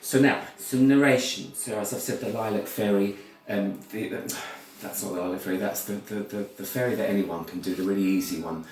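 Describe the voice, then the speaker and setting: A British man giving a rambling, informal talk as a storyteller, halting and repeating words, with theatrical, opinionated asides. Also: lowish voice, a little mellow. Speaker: ballet storyteller and performer. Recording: very echoey room, far from mic.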